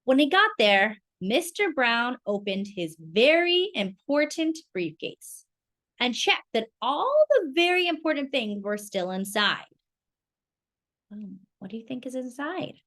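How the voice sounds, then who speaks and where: A woman reading a picture book aloud to young children in an expressive, exclamatory way, with chatty asides and questions. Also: a little high, unhurried. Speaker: children's storytime reader.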